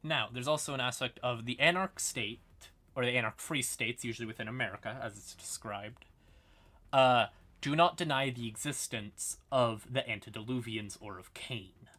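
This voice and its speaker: A man giving an informal livestream explainer on fantasy lore, halting and wry, with casual asides to his chat. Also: mid-range voice, bright, forceful tone.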